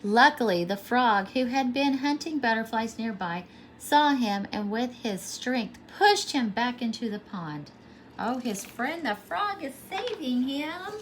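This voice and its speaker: A woman's voice: on the high side, slight background noise, low-quality recording. A woman pre-K teacher reading a storybook aloud to young children, expressively and with simple explanations.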